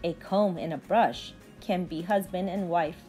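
A young woman teacher reading aloud to children with deliberate, expressive enunciation. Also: lowish voice, soft background music.

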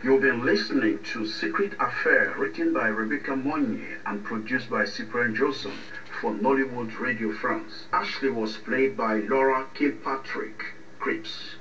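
A man's voice: medium pitch, a little slow, few pauses, sharp tone, reverberant, distant mic, highs cut off, soft background music. A man reading scripted credits as the announcer of a radio drama.

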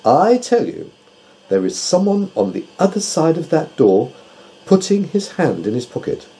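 A proud, exacting owl from a children's storybook, acted out by a male narrator. The voice is pitched up and swoops in lively fashion, with precise, emphatic, self-assured delivery. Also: normal speed, low-quality recording. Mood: insistent, boastful. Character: male owl with keen hearing, exacting and haughty.

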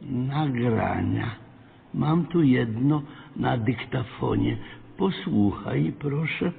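Gravelly, sanctimonious parish priest. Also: male voice, mid-range voice, animated, phone-quality sound, full character voice. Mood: gravely insinuating. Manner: slow, preachy, ponderous phrasing. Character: stern, sly, sanctimonious male parish priest. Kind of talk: radio drama acting. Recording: thin band-limited radio sound, reverberant, faint noise.

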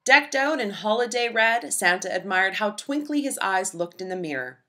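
A woman reading a children's storybook aloud with expressive, dramatic emphasis. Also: mid-range voice, moderate pace. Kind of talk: expressive scripted read-aloud narration for young children.

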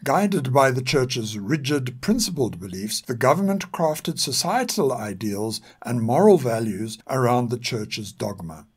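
An older man speaks with a South African accent and a husky, slightly rough voice. His pitch sits in the middle for a man. He delivers scripted narration formally and with measured care. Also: big pitch swings. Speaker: podcast narrator.

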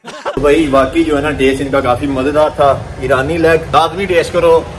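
A man's voice: a little high, steady tone, normal speed, over music. A young man from Pakistan with a Punjabi accent, chatting casually to camera in an informal way peppered with filler phrases. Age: young adult. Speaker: vlogger. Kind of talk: casual vlog chat to camera. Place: mostly inside a car, also outdoors and indoors. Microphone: phone at arm's length. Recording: clearly noisy phone recording with vehicle sounds.

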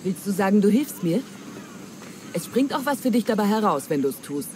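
Woman acting as wily female pirate, theatrical and coaxing, treasure hunter, game dub, medium pitch, clear background noise. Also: very animated, moderate pace, a little mellow, natural voice. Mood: hopeful. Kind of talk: dramatic German game dubbing.

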